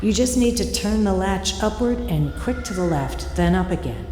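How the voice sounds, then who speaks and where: A woman playing an eerie audio tour guide in an audio drama, giving deliberate instructions with hypnotic repetition. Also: mid-range voice, lively intonation, mellow, a little hiss or hum, lightly acted. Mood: calm, commanding. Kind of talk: scripted audio drama narration. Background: ambient field recording and foley sounds.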